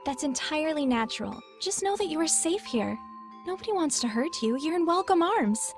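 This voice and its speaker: High-voiced, serene cult gatekeeper woman. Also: music in the background. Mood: soothing. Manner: calm, measured, reassuring. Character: serene, soothing female death-cult gatekeeper. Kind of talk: video game character acting.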